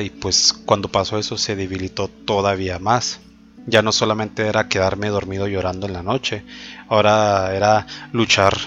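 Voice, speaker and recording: A man with a fairly low voice recounts his testimony in a reflective, halting way. The recording sounds dull and lo-fi, with faint music underneath. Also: fairly bright tone. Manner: reflective, hesitant, pauses often on fillers. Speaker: testimony speaker. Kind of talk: personal faith testimony.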